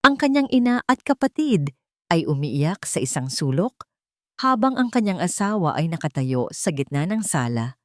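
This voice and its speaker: A woman's voice: lowish voice, very animated, moderate pace, no room sound, clean studio sound. A woman reading a scripted dramatic story as its storyteller.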